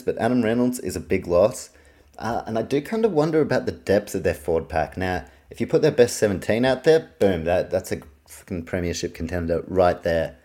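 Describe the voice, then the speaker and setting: A man's voice: fairly low pitch, mellow. A man with a New Zealand accent giving a casual, opinionated solo sports preview as a podcast host, informal and sweary. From Auckland, New Zealand.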